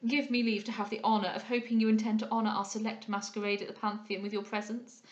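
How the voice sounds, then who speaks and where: A woman's voice: mid-range voice, level tone, fast talker, reverberant, lo-fi sound, lightly acted. A woman voicing a self-satisfied, foppish male captain with a pompous, affected manner in an audiobook. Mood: smug, affected. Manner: pompous, affected, peppered with French words. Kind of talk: dramatised audiobook character voice.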